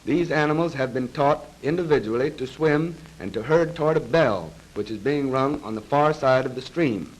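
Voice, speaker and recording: A man's voice: medium pitch, breathy edge, low-quality recording. Formal man narrating a 1930s training film. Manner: formal, measured, precise. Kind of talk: 1930s military instructional film narration, scripted. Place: voice-over recorded for a film. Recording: vintage 1930s film soundtrack.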